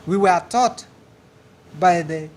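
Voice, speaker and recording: A man from Uganda with a Ugandan accent, answering audience questions in a halting, earnest way at a live speaking event. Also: high voice, darkish tone, slight background noise. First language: a Ugandan language. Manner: earnest, halting, repeats words. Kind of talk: public speaking Q&A.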